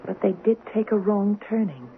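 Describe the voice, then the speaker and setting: A woman's voice: medium pitch, animated, normal speed, lightly acted. Actress as an earnest young woman, thin radio sound. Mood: pleading, intense. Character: earnest young American woman, romantic and haunted by the past. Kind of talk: 1970s radio drama acting. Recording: noisy, phone-like 1970s radio recording.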